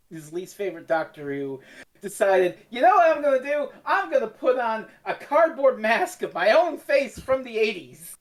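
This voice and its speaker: A woman co-hosting a casual review podcast in a blunt, sweary way. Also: medium pitch. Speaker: podcast co-host.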